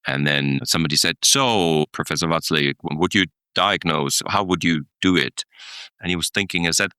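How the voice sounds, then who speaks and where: A man's voice: low voice, moderate pace, pushed and bright, clear, resonant tone, no echo, clean studio sound. A middle-aged man, telling stories thoughtfully as a podcast guest, with many restarts and small chuckles. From Germany. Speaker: guest, executive coach. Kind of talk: podcast interview, reflective storytelling.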